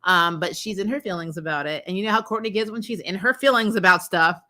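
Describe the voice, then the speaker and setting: A woman hosting a casual, unscripted review, retelling scenes in slangy, animated talk and acting out reactions. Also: medium pitch, fast. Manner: animated, exclaiming, acts out reactions, laughs often; informal slangy talk with frequent "like" and "you know". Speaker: host of a TV episode review show.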